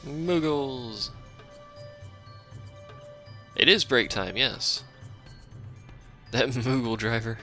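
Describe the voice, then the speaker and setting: Man, deep gravelly voice, bright edge, casual let's play commentary, offhand and self-mocking, faint game music behind. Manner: rambling, offhand, self-deprecating; chats with a live stream chat.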